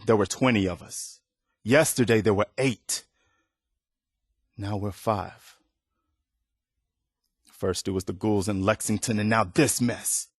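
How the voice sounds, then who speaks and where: A man's voice: mid-range voice, no room sound, studio quality. A male actor voicing an earnest, weary, loyal militia soldier in a video game, speaking in a plain-spoken, folksy way. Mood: weary, somber. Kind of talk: dramatic video game dialogue.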